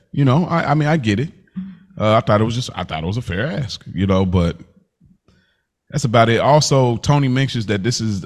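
A man co-hosting a TV recap podcast in casual, loose, slangy conversation. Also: fairly low pitch. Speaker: podcast co-host.

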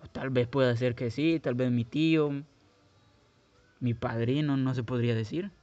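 Casting: young Guatemalan man, loose rambling storyteller, mid-pitched, dull home-mic sound. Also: fairly even intonation, normal speed, dark tone, no room sound. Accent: Guatemalan Spanish. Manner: rambling, hesitant, many restarts and fillers. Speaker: podcast host. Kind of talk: casual personal storytelling.

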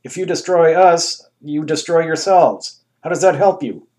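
A man voicing a nervous Secretary of State who asks halting, pleading questions in a comic story. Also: fairly high pitch, a little mellow, pitched above the performer's own voice, close to an everyday voice. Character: nervous male Secretary of State, middle-aged.

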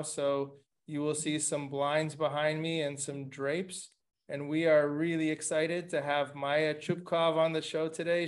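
A middle-aged man from Latin America hosting an interview podcast from his living room over a video call, asking long questions with frequent restarts. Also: fairly high pitch. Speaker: podcast host.

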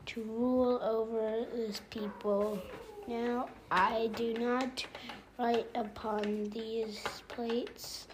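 A woman slowly reading scripture aloud at home for her family, careful and halting, sometimes correcting herself. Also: fairly high pitch, little pitch movement, background noise.

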